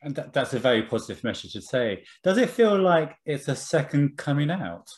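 A man's voice: fairly high pitch, sing-song, a little fast. A man interviewing a guest over a video call from a room at home, friendly and encouraging with light teasing.

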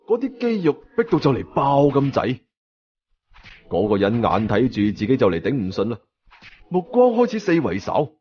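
A man's voice: medium pitch, highs cut off, slight background noise. A man with a Hong Kong Cantonese accent narrating a story dramatically, acting out the dialogue as he goes. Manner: animated, acts out dialogue.

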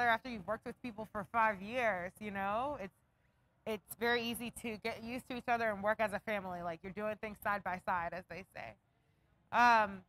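An American woman talks in a relaxed interview style, reflective and conversational. Her voice sits at an average pitch for a woman. Faint ambience from a shop interior runs behind her. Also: low-quality recording. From raised outside Atlanta, United States. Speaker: fashion business owner. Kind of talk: casual documentary interview. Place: inside a clothing shop. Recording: noticeable background ambient noise.

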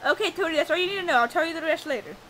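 Performer doing a patient puppet friend, extremely high voice, polite, placating, faint background noise. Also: lively intonation, very fast, bright, forceful tone, exaggerated voice. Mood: coaxing. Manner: coaxing, placating. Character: patient, polite friend Mario, amused sidekick leader.

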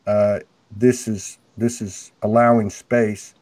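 A middle-aged man with a loud, carrying voice talking earnestly on a video call, full of restarts, through an earphone mic at home. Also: on the low side, flat delivery, dry recording. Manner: earnest, halting, many restarts. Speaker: host. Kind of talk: spiritual video call conversation. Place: home room, indoors. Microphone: earphone headset mic on a video call.

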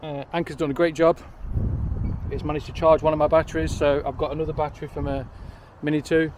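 A man's voice: a little high, flat delivery, quick pace, noisy background. A man in his forties vlogging to a handheld camera outdoors, rambling and correcting himself in an upbeat way. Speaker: drone vlogger. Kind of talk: casual vlog to camera. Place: outdoors by a railway station, windy. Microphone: handheld camera at arm's length.

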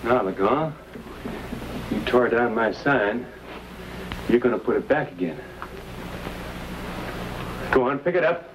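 Animated American frontier hero. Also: male voice, medium pitch, takes it slowly, long pauses, background noise. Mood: commanding. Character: bold, forthright young American frontier fur buyer. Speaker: actor playing the hero fur buyer. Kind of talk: 1950s Western screen acting.

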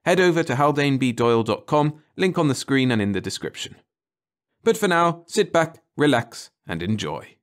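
A man's voice: medium pitch, big pitch swings, normal speed. A man reading a scripted story as an audiobook narrator.

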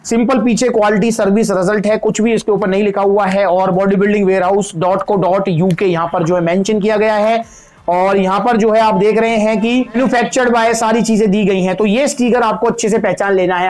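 A man with an Indian Hindi accent hosting a chatty supplement review, speaking straight to his viewers as friends and pausing often on fillers. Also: sits high, steady tone, darkish tone. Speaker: supplement reviewer, channel host. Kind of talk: product review talk to camera.

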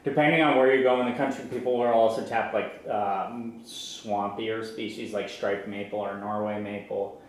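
A young man giving an informal farm tour, explaining as he goes with halting, repeated starts. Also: on the low side, steady tone. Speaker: maple farmer giving a tour. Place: large farm sugarhouse and tasting room. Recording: echoey farm building, some background noise.

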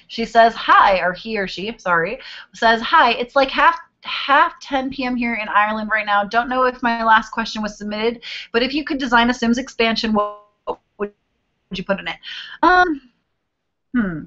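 A woman's voice: a little high, measured pace, a bit bright. A woman in her twenties talks in a loose, chatty way, humming to herself as she hunts for the next viewer question. She is recorded on a webcam in a small bedroom. The audio is a little dull and lo-fi. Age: 20s. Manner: chatty and rambling, hums while searching. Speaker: vlogger answering viewer questions. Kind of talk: casual chat. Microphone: webcam video call.

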